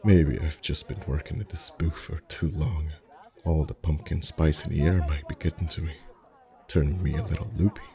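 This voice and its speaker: A man playing a friendly, chatty, gently flirtatious festival face painter in a soft-spoken ASMR roleplay, with a gentle, rambling manner. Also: a bass voice, fairly even intonation, normal speed. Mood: sheepish, playful. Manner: gentle, rambling, softly chuckling. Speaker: ASMR voice actor.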